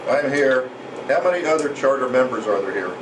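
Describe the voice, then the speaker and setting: Older man reminiscing over clear room noise. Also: medium pitch, expressive, a little fast. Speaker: founding member of a hobby club. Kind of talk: informal reminiscing speech at a club gathering. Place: restaurant luncheon room. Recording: noisy room, air conditioning hum.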